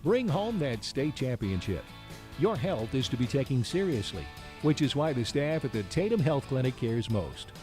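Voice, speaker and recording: A man's voice: medium pitch, very animated, normal speed. A man reading a scripted radio advert as an announcer, with faint guitar music behind.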